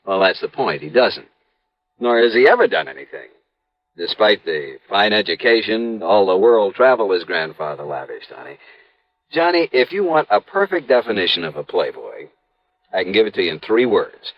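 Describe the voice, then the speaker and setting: A man's voice: on the low side, very animated, moderate pace, no echo. An actor playing an emphatic, opinionated American insurance man in 1950s radio drama style, insistent and sure of his suspicions. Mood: scornful. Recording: dull, band-limited old radio recording.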